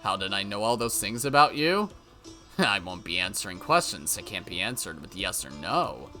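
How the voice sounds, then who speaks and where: A man's voice: on the low side, sing-song, moderate pace, faint music behind, voice pushed down. A man voicing an unhinged male freelance writer in a visual novel, theatrical and volatile. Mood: smug, menacing. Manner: shouting, wailing, taunting, theatrical. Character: unhinged male freelance writer, shifting from panic to cold menace.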